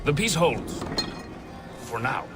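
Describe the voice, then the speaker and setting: An actor playing a weary, gruff son in a video game, blunt and exasperated. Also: male voice, mid-range voice, big pitch swings, slow, loud music behind the voice. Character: gruff, weary son tending his senile father. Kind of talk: video game cutscene acting.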